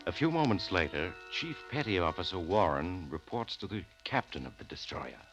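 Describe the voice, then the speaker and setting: Mysterious host, deep voice, 1940s suspense narration, deliberate, theatrical, music behind. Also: male voice, very animated, rough recording. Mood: grave. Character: ominous, suave mysterious male storyteller of eerie tales. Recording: old radio broadcast with music.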